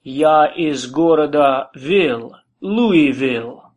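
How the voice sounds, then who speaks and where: Male actor as a polite newcomer learning the language. Unhurried, careful delivery. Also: on the high side, expressive, heavily acted. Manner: slow, deliberate learner's speech.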